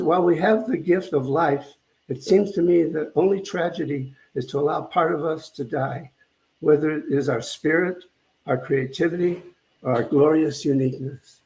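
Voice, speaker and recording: An older man giving a conversational spiritual talk as a minister, reflective and halting, often repeating phrases as he goes. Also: on the high side, darkish tone, low-quality recording.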